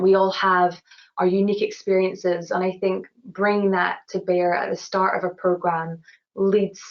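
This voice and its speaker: A Scottish woman with a Glasgow accent talks through her career in a low, level voice, hardly rising or falling. She speaks earnestly, often restarting her phrases. The audio is dull and lo-fi, like a webinar call. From Glasgow, Scotland. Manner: earnest, many restarts and "you know" fillers. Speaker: award-winning engineer guest. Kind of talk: webinar Q&A answers.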